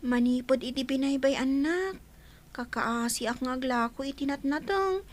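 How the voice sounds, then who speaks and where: Woman acting an earnest, high-voiced Ilocano schoolchild. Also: normal speed, natural voice. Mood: wistful. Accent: Ilocano Filipino. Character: earnest, hardworking schoolchild raised by grandmother. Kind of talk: Ilocano radio drama acting.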